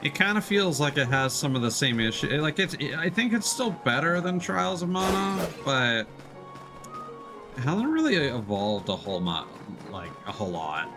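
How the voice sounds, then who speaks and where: A man's voice: mid-range voice, big pitch swings, moderate pace. A man giving casual, rambling video game commentary as a live streamer, hesitant and stumbling over his words. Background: game music.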